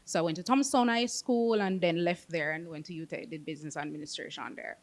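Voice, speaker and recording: A young woman from Jamaica with a Jamaican accent, a singer being interviewed, talking in a lively way and slipping into patois. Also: on the low side, fairly quick. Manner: lively, laughs often, slips into patois. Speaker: guest singer. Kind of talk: interview conversation.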